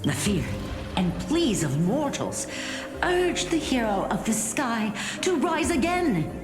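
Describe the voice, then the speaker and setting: A woman's voice: on the high side, big pitch swings. An actress playing an aged, tender grandmother who tells old legends in a solemn, dramatic fantasy game style. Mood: grave, epic. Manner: measured, dramatic, weighty phrasing. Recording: reverberant studio voice under music.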